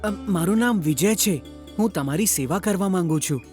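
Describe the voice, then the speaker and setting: A man's voice: high-pitched, animated, normal speed, a little mellow, faint music behind. A man voicing a humble young village lad in a children's cartoon, meek and pleading. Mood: meek, eager. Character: young village boy posing as humble devotee.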